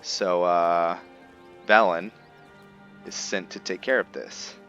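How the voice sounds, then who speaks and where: A man's voice: deep voice, steady tone, slow pace, fairly bright tone, muffled recording. A man giving casual, laid-back roleplay commentary on a video game, talking conversationally as he thinks aloud. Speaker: gaming video host. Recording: game music behind. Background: faint game music.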